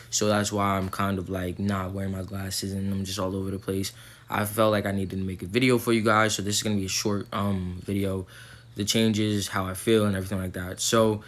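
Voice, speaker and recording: A man's voice: on the low side, a bit bright. A young man recording a casual vlog update, rambling with lots of ums and likes, his throat a little sore. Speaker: vlogger.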